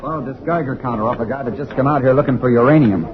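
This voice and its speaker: An actor playing a friendly, folksy American forest ranger in a radio drama. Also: male voice, medium pitch, quick pace, natural voice. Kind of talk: mid-century American radio drama acting. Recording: noisy, dull old radio recording.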